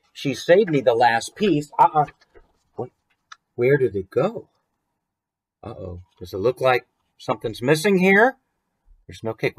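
A man in his forties with an American accent, hosting a story time in an expressive, conversational way with chatty asides. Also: medium pitch, breathy edge. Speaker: author reading his picture book. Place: small room at home, indoors.

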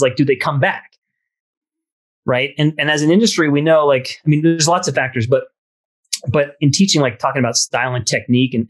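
A man in his thirties speaks as a guest over a video call, laying out his points in a thoughtful, measured way. His voice sits at a middle pitch and picks up the plain sound of a small home room. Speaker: guest ski instructor. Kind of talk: casual video-call interview. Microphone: webcam on a video call.

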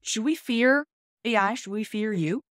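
A woman's voice: fairly high pitch, a little slow, a bit bright, no echo, studio quality. A woman in her thirties talking casually and chattily to camera, like a tech vlog host. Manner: chatty, informal. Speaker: host, tech content creator. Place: small room at home, indoors.

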